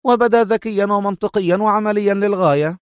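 A haughty, analytical woman operative from a spy story , acted out by a male narrator. The pitch sits well up and the delivery is cool and decisive. The sound is dry, with no room around it. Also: fairly quick, no room sound, close to an everyday voice. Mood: decisive, doubtful.

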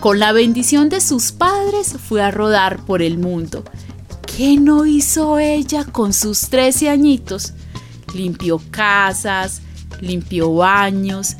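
An earthy Colombian woman storyteller, narrating a radio play in a confiding, colloquial way. She takes her time over each phrase, with soft music underneath. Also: on the high side. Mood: confiding, wry. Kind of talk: conversational radio drama narration.

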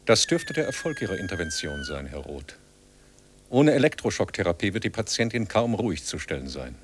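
An actor in a German radio drama playing a cold, patronising psychiatrist who speaks with formal authority. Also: male voice, fairly low pitch, wildly swooping pitch, fairly quick, slight background noise. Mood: cold, dismissive. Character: cold, condescending male asylum psychiatrist.

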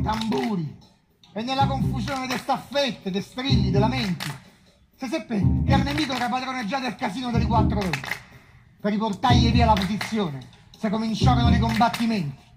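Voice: medium pitch, natural voice. A performer playing a fervent Roman commoner recounting a bloody siege, emphatic and rhythmic, with a Roman accent, over guitar music. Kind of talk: dramatic stage storytelling over live music. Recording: live guitar music under the voice.

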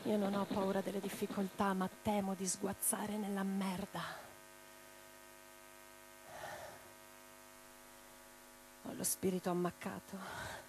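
A woman's voice: medium pitch, level tone, normal speed, background noise, lightly acted. An actress playing an impassioned revolutionary woman in a radio play, declaiming with theatrical intensity. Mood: subdued, wounded. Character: impassioned revolutionary woman orator and letter-writer. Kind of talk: theatrical dramatic monologue. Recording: guitar music behind the voice. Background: plucked guitar music.

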